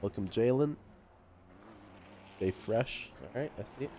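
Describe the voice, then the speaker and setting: A man's voice: mid-range voice, a little slow, noisy background. A nasal-sounding man with a cold, giggling often as he commentates a live sim race. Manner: giggly, laughs often, playful. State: has a cold.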